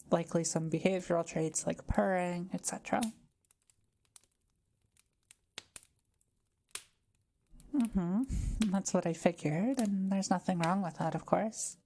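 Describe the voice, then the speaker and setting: Kind forest witch played by a woman for audio roleplay: scholarly, measured, lowish voice with a creaky edge. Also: slight background noise. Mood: patient, reassuring. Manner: gentle, measured, explanatory. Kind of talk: intimate audio roleplay, conversational.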